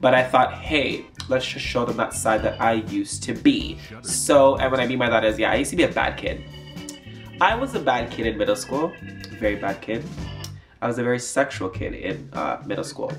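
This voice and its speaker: A man's voice: mid-range voice, expressive, moderate pace, faint music behind. A young man telling a storytime vlog in a rambling, chatty way with lots of fillers, his nose stuffed up. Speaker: YouTuber.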